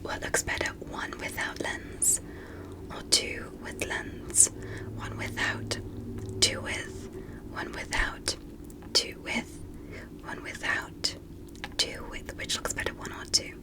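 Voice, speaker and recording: A woman whispering in an ASMR medical roleplay as a curious, polite alien doctor, speaking gently and deliberately with soft amazement. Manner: gentle, deliberate, repetitive, softly amazed at small things. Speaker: ASMR performer playing an examiner.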